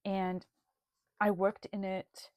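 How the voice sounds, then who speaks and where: A woman presenting her art journal in a video, speaking in a slow, thoughtful, gently earnest way. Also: medium pitch, little pitch movement, no echo, studio quality. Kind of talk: reflective video flip-through.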